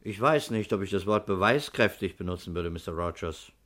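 An actor playing a measured, sceptical fire investigator in a 1960s German radio crime drama, speaking with deliberate understatement. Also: male voice, low-pitched, animated, a bit bright.

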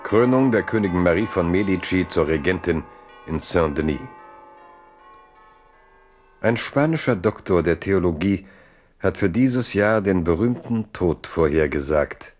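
Low sober narrator for history radio play, bit of music under. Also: male voice, animated, measured pace. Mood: grave, measured.

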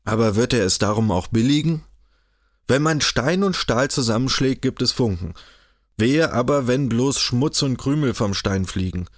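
A man's voice: mid-range voice, expressive, normal speed, no room sound, studio quality, close to an everyday voice. A man voicing a stout, stern older official in an audiobook, grave and grumbling.

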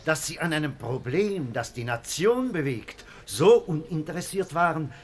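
A man's voice: on the high side, expressive, moderate pace, close to an everyday voice. An actor playing a pushy, accusing male reporter in a 1950s German radio drama. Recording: radio play, crowd of voices behind. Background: press conference crowd.

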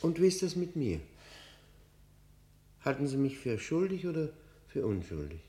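A man acting an embittered, haunted prisoner in a German radio play, speaking in a brooding, literary, halting manner with heavy pauses. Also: on the high side, very animated, warm tone. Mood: tense, probing. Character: embittered long-term prisoner, haunted and disgusted, confiding.

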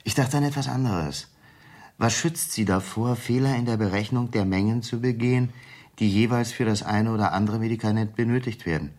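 An actor playing a smooth, calculating company executive in a 1970s German radio crime drama, talking in a measured, persuasive way. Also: male voice, lowish voice, darkish tone, dry recording, close to an everyday voice. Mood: probing. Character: smooth, calculating male company vice president, tempter.

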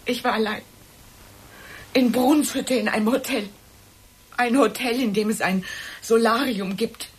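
An actress playing a cornered, guilt-ridden woman in a radio play, halting and pleading as she defends herself. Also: on the high side, breathy edge. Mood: agitated, confessing. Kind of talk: German radio play acting.

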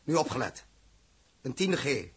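A man acting a commanding, fair-minded spaceship captain in a 1960s Dutch radio drama, with a husky voice. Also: mid-range voice, sing-song, a little slow, full character voice. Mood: brisk, commanding.

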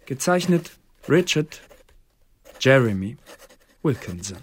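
An actor playing a formal, officious Elizabethan clerk who reads out official records in a radio drama. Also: male voice, mid-range voice, big pitch swings, slow pace, breathy edge, full character voice. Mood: formal, businesslike. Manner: officious, bureaucratic. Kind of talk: radio drama, reading out a document.

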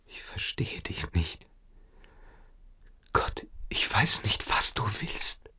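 An actor playing a shamed, evasive teenage cadet in a radio play, halting and self-justifying. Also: male voice, bright, forceful tone, whispered. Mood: bewildered, hushed. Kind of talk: German radio play acting.

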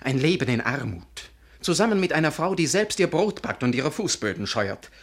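A man's voice: on the high side, big pitch swings, normal speed. A man playing an earnest, pious and touchy young pastor in a radio drama, solemn and intense. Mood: stern, righteous. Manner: solemn, intense, given to sudden outbursts. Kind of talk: German radio drama acting.

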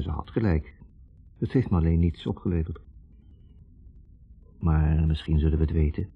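An actor playing a dissatisfied, self-questioning reporter, narrating with measured rhetorical questions in a 1960s radio drama. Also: male voice, a bass voice, slightly rough, heavily acted. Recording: muffled narrow-band 1960s radio recording.

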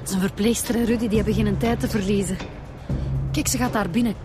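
An actress playing a persuasive, determined police inspector in a radio drama, conversational, with a Flemish accent. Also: female voice, mid-range voice, steady tone, fairly quick, over loud music, lightly acted.

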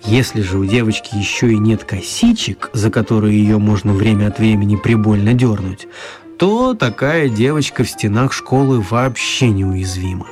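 A man narrating a children's radio play as an expressive, wry storyteller. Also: on the low side, moderate pace, close to an everyday voice. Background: music.

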